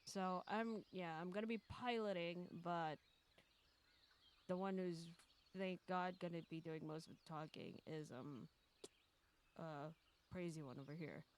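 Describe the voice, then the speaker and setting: A woman's voice: on the low side, unhurried, pauses often. A woman hosting a stream in casual chat, rambling and hesitating as she goes. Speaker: streamer hosting a group visual novel stream.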